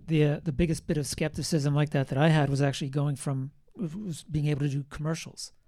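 A man working as a film editor, speaking on a panel in a relaxed, self-mocking way. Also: fairly high pitch, a little fast, dry recording, studio quality. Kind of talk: panel discussion.

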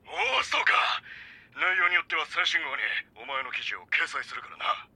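A man acting a gruff, hearty magazine editor with a raspy voice on a filtered phone line in an audio drama. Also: on the high side, big pitch swings, normal speed, pushed and bright, no room sound, heavily acted. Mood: pleased. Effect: telephone filter.

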